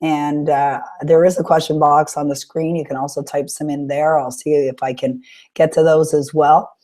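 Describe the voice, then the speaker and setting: A woman with a low, mellow voice hosts a live webinar, her throat a little sore. She coaches listeners through their questions in an encouraging way, pausing often with small fillers. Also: level tone. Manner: warm encouraging coaching talk with frequent "uh" pauses. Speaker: webinar host and author coach.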